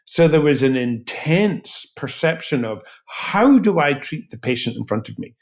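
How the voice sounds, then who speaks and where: A man speaking as an expert interview guest, explaining in emphatic, articulate, measured phrases. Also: on the high side, big pitch swings. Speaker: physician researcher, interview guest.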